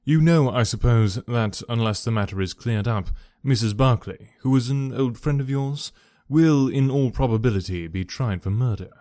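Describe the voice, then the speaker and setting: A man reading an audiobook and voicing a calm, incisive detective who speaks in a measured, courteous, precise way. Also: medium pitch, no echo, studio quality, lightly acted.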